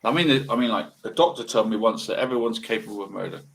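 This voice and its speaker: A man in his sixties giving a casual, rambling monologue, musing haltingly and asking rhetorical questions. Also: fairly low pitch, gravelly, fairly bright tone. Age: 60s. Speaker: video monologue host.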